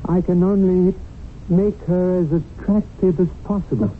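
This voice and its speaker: A man's voice: high voice, fairly even intonation, a little slow, narrow, tinny audio, slight background noise. A man playing an earnest, worried guardian spirit in a 1970s radio drama, rueful and confiding. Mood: earnest, resigned. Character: earnest, worried male guardian spirit telling his story. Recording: thin 1970s radio recording with music. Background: music.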